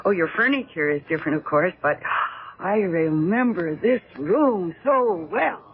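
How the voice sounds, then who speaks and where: A woman's voice: fairly low pitch, expressive, a little slow. An American actress playing a polite, eager, nostalgic young wife in a 1970s radio drama, hesitant and girlishly enthusiastic. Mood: delighted, nostalgic. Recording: muffled, narrow-band vintage radio recording.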